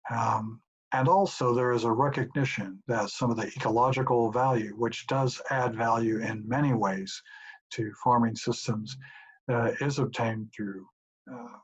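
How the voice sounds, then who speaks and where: A middle-aged professor calmly explaining his answers to questions sent in by an audience. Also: mid-range voice, breathy edge. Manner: measured, explanatory. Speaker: professor and institute director. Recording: dull lo-fi home recording.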